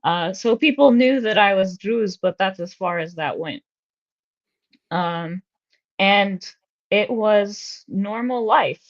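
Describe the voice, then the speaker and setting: A woman being interviewed, speaking in a reflective, steady way with frequent fillers. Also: medium pitch, slow. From United States. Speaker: interview guest, researcher and activist. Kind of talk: personal conversational interview. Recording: slightly dull lo-fi sound.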